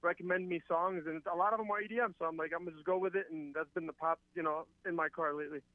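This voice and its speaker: A man's voice: a little high, fairly even intonation, rapid-fire, no room sound. A man in his twenties, originally from Palestine, talking casually as a guest fighter with lots of fillers and restarts. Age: 20s. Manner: rambling, filler-heavy, upbeat. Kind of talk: casual interview over a remote call. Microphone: remote call. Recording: tinny phone-like line.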